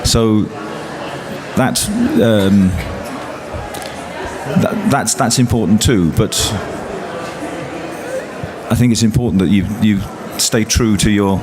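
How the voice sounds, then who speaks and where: A man's voice: fairly low pitch, slow pace, pauses often, a crowd in the background. A man, a poet speaking on a panel, hesitant with many restarts and fillers. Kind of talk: panel discussion. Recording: loud traffic noise behind.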